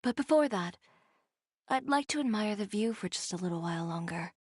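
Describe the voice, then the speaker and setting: A woman's voice: medium pitch, expressive, fairly quick, a little hiss or hum, lightly acted. A woman playing a proud, self-assured young fashion designer in a video game, speaking in a composed, formal way. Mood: wistful, calm. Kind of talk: video game dialogue acting.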